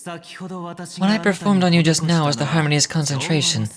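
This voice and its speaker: A woman's voice: low-pitched, moderate pace, crowd noise, voice pushed down, natural voice. A woman voicing a stern, sanctimonious male religious judge from a video game, speaking formally and measuredly. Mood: stern, solemn. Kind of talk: reading game dialogue aloud in character.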